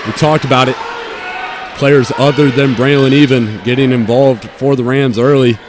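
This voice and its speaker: A man's voice: mid-range voice, steady tone, moderate pace. A man doing live play-by-play commentary of a basketball game from inside a school gymnasium. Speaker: play-by-play announcer. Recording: loud gym crowd and court noise. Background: crowd, bouncing basketball.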